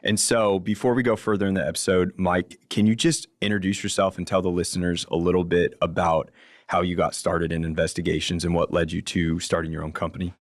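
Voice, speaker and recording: A man's voice: low voice, little pitch movement, a little fast, no echo, studio quality. A man hosting a legal podcast, introducing a guest and laying out the episode in a friendly, explanatory way. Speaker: podcast host, attorney. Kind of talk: podcast interview.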